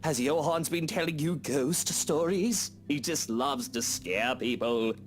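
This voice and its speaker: A man's voice: on the high side, a little slow, faint music behind, natural voice. An actor playing a smug, teasing wealthy man who drawls his lines in a visual novel game. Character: Whiskey, smug, teasing wealthy male employer.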